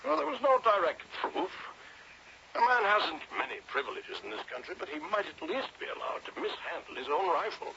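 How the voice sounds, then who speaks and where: Bitter, high-strung colonial Englishman, muffled tape. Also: very animated, a little fast, vocal fry, pushed and bright, full character voice. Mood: guarded, bitter. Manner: clipped, cynical, brooding. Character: sleepless, bitter, sardonic British colonial engineer in India. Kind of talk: old-time radio drama acting. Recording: muffled, noisy old radio drama tape.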